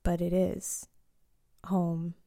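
A woman in her late twenties reading a reflective personal story in a slow, lyrical way, sometimes dropping to a hush. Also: lowish voice, monotone. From born in Eswatini to Zambian parents, raised in South Africa, Australia and Canada. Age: late 20s. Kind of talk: scripted personal narration.

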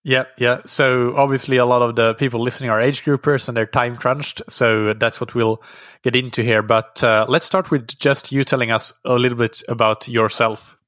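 A man hosting a podcast, reading sponsor messages and then interviewing a guest in a relaxed, conversational way with frequent fillers. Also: medium pitch, normal speed, no room sound, clean studio sound. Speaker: podcast host.

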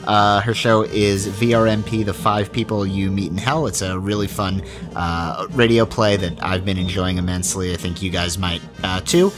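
A man's voice: deep voice, flat delivery, moderate pace, music in the background. A man hosting a podcast, giving announcements in a chatty, informal, earnest way. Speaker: podcast host and show creator.

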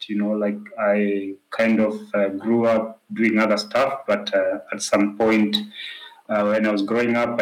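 A man's voice: fairly low pitch, rough recording. A man with a Kenyan accent, talking casually as a guest in an interview. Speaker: interview guest, professional cyclist.

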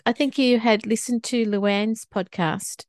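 A woman's voice: a little high, steady tone, measured pace. A middle-aged or older woman hosting a podcast, speaking in a presenter's style as she introduces and interviews. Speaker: podcast host.

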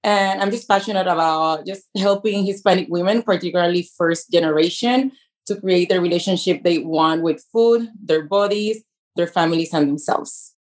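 Woman guest, Puerto Rican accent, native Spanish, lowish voice, thoughtful and measured. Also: a little mellow. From Puerto Rico. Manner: measured, thoughtful, careful phrasing. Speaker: physician and coach guest.

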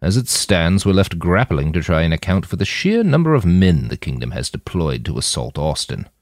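Man as a low-voiced, grave leader. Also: very animated, normal speed, no echo, studio quality. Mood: resigned.

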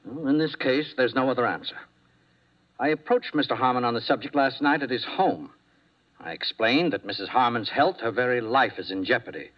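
An actor playing a nervous, fussy doctor in 1950s radio drama, speaking hesitantly and formally. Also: male voice, mid-range voice, lively intonation, fairly quick, natural voice. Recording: muffled old radio broadcast.

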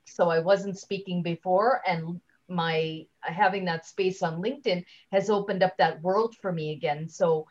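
A woman giving a conversational interview as a guest speaker, chatty and halting, often leaving sentences unfinished, on slightly dull audio. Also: lowish voice. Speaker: guest, LinkedIn trainer and speaker.

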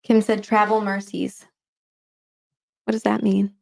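A woman's voice: medium pitch, little pitch movement, unhurried, creaky, a little mellow. Tired woman with a faint boston accent, reads out viewer comments, laughs a lot, casual live chat. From Boston area. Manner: chatty, giggly, reads comments aloud. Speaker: co-host reading viewer questions.

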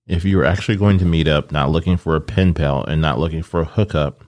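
Deep-voiced man, teasing, pries with questions, casual chat. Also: quick pace, darkish tone.

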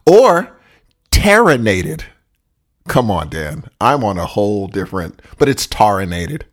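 A man's voice: on the low side, very animated, measured pace. A man co-hosting a podcast, chatting casually in slangy language, telling joking stories and acting out quotes. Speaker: podcast co-host. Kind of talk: casual chat, storytelling.

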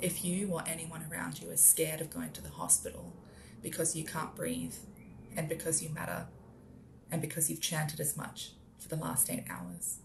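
Australian woman, spoken-word writer, lowish voice with heavy fry, measured literary read. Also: sing-song, background noise. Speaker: writer performing own work.

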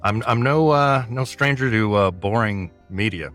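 A man being interviewed, talking casually in a halting, informal, understated way. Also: on the low side, fairly bright tone, soft background music. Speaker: interviewee, audio fiction creator.

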